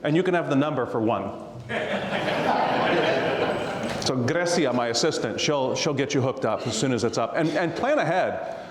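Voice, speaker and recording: A man's voice: medium pitch, lively intonation, normal speed, long pauses, crowd noise. A man, a tax attorney, telling stories and explaining tax rules to a live audience, laughing often. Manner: jokey storyteller, laughs often. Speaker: real estate tax attorney guest. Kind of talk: casual talk to a live audience. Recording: roomy hall reverb.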